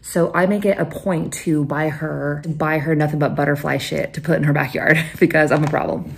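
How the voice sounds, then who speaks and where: A woman vlogging into a handheld phone with a slightly low voice. She talks casually and playfully, crude and jokey. A faint hum of background noise sits under her words. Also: steady tone, a little fast, creaky. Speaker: travel vlogger. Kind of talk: casual vlog chat to camera.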